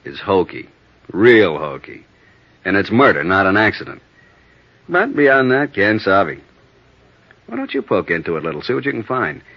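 An actor playing a wry, world-weary police detective sergeant in a clipped, matter-of-fact 1950s radio drama style. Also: male voice, on the low side, very animated, normal speed, pauses often. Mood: confiding. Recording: muffled old-time radio recording.

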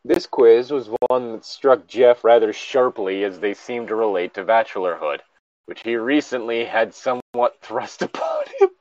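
A man reads aloud casually with a lively, swinging pitch, cutting in with exasperated, incredulous swearing. The recording is dry and slightly dull. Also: moderate pace, dry recording. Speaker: co-host reading a story aloud with commentary. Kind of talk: casual reading with incredulous commentary.

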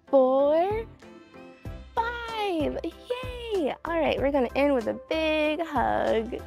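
A woman's voice: sits high, a little mellow. A young woman presenting a children's read-along, encouraging and exclamatory, talking directly to her young audience. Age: young adult. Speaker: children's story time host.

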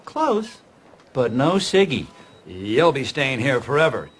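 Sitcom senior doctor: domineering, bitingly sarcastic, very animated intonation, faint noise behind. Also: male voice, mid-range voice, takes it slowly. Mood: mocking. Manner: theatrical, biting sarcasm with mock sweetness. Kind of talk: sitcom acting, mocking monologues.

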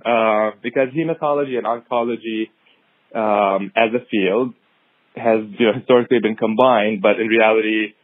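A man in his thirties from Syria, a physician answering interview questions in a measured, thoughtful way with many pauses and ums. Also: fairly low pitch, dry recording. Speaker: hematology-oncology fellow, podcast guest. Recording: tinny phone-like audio.

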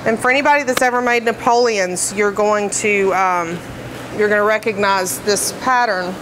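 A woman's voice: mid-range voice, moderate pace, background noise. A woman bakery trainer explaining decorating steps in plain, practical terms, with frequent fillers. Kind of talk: instructional demonstration. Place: commercial kitchen, indoors.

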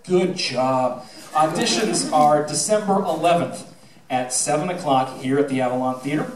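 A middle-aged man giving an informal speech on stage as a theatre director, telling anecdotes in an animated, projecting way. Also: fairly high pitch, normal speed, crowd noise. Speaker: high school theatre director. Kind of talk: informal speech to an audience, with anecdotes and thank-yous. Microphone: handheld microphone through PA, filmed from the audience. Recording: roomy, distant audience-camera recording.

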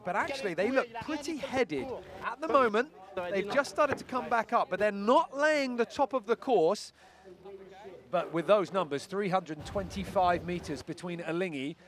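A man giving live play-by-play commentary on a sailing race, brisk and analytical. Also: high voice.

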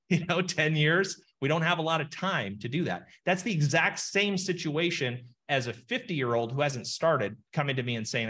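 A man in his forties, a financial planner, giving an animated webinar lesson on calculator math over a video call. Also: mid-range voice. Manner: animated, explains with numbers and asides. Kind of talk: webinar teaching session. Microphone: webcam video call.

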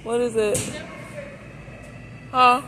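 A woman's voice: fairly high pitch, level tone, drawn-out words, sharp tone. A woman chatting casually to the camera in a folksy, informal way, thinking aloud as a vlogger on a shopping trip. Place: inside a large supermarket, walking. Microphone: handheld phone behind the camera. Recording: noisy store background.

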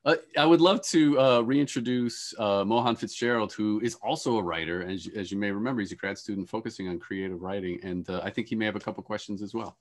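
Middle aged guy hosting a video panel, lowish voice, polite and a bit halting. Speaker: host, moderator. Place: on a video call.

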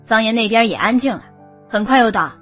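A woman's voice: fairly high pitch, animated, fairly quick, a bit bright, muffled recording, soft background music. A woman narrating a romance novel as a scripted audiobook.

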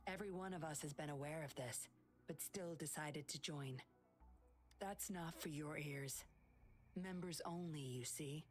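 Low-voiced, sly game heroine. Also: female voice, measured pace, soft background music. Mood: composed, confiding. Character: sly, secretive, composed young woman patroller, teasing and confident. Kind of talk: anime-style video game voice acting.

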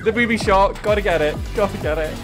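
A man in his thirties giving a casual, excitable video reaction as a streamer, often repeating his phrases. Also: high voice, fast talker. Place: small indoor streaming room. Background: video game trailer music.

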